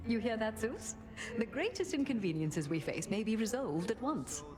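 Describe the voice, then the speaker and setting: A woman's voice: on the high side, very animated, moderate pace, over music. A woman playing a haughty, sly queen goddess in a fantasy game, with a formal, pointed, aristocratic delivery. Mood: smug, pointed.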